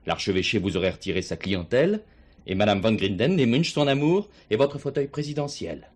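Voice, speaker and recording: Deep, husky, shrewd police commissioner. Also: male voice, lively intonation. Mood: pressing, accusing. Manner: deceptively polite, deliberate questioning. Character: calm, shrewd male police commissioner, politely probing. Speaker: actor. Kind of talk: French stage thriller acting. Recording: 1970s stage play recording, some hiss.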